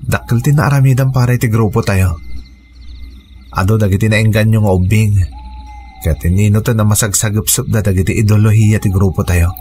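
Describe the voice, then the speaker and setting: An actor playing an earnest, tender young activist in a radio drama, with an Ilocano accent and a sentimental delivery. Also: male voice, on the low side, fairly even intonation, a little fast, mellow, close to an everyday voice. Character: earnest young activist man named Noel, tenderly in love. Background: music under the dialogue.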